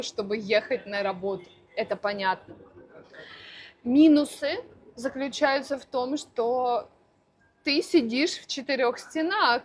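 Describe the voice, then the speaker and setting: A woman language teacher answering interview questions for learners, speaking slowly and deliberately with careful articulation. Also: a little high, a little hiss or hum. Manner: slow, deliberate, clearly articulated.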